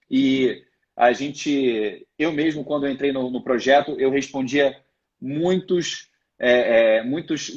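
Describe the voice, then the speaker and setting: Male guest, slightly high voice, halting and full of restarts, muffled call audio. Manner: hesitant, many restarts and fillers. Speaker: guest, financial education project member. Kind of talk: live online interview.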